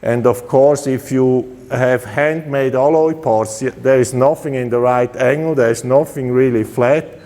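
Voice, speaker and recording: A man with a Swiss German accent talks slowly through a casual walkaround of his custom motorcycles. He is relaxed and halting, with many filler sounds, at a mid pitch. The voice carries a long reverb, as in a large hall.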